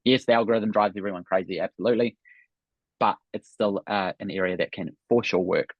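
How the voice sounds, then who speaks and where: Husky, low-voiced Kiwi man coaching. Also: fairly quick, no echo, muffled recording. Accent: New Zealand. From New Zealand. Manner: upbeat, repeats "right?" and "really, really". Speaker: business coach. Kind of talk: webinar coaching talk.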